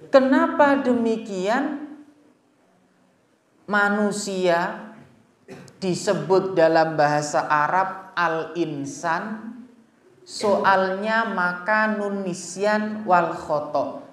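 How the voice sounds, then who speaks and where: A man's voice: sits high, very slow, long pauses, fairly bright tone. A man with a Javanese Indonesian accent preaching to a gathering, addressing listeners directly with rhetorical questions. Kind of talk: religious sermon to a gathering. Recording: echoey space, faint background noise.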